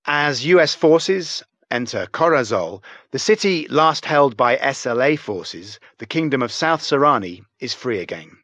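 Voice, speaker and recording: A composed male news anchor reads a scripted game newscast formally and solemnly. His mid-pitched voice is recorded close and very dry. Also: expressive, fairly bright tone, no echo. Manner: formal, measured.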